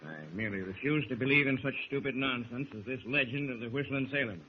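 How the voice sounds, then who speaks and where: A man's voice: mid-range voice, quick pace, close to an everyday voice. A stern sea captain in a vintage radio serial, acted by a man. He is stubborn and blustery, with clipped, dismissive delivery, heard through a muffled recording with faint noise. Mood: defensive, indignant. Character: stern, stubborn sailing ship captain, male. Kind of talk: 1940s radio acting.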